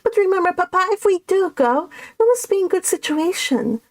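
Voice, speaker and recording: A woman's voice: extremely high pitch, sing-song, normal speed, no echo, voice pushed up, exaggerated voice. A woman reading a classic novel aloud and voicing self-absorbed, status-minded Regency gentry with an affected, fussy manner. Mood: pleading, demanding.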